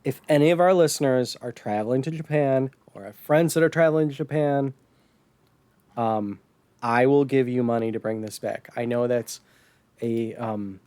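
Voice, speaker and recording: An American man chatting casually as a podcast host, somewhat halting when he describes things. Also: mid-range voice, a little mellow, clean tone, dry recording. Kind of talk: casual podcast chat about retro gaming.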